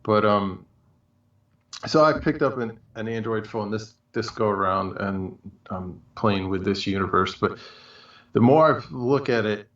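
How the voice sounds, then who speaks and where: A man's voice: lowish voice, measured pace, fairly bright tone. A man co-hosting a casual chat, talking in a rambling, colloquial way and piling up examples. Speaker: show co-host. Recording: muffled, echoey, distant from mic.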